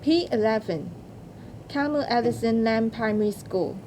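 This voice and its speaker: A woman's voice: fairly high pitch, normal speed, mellow, slight background noise. A woman presenting awards from a script as ceremony host.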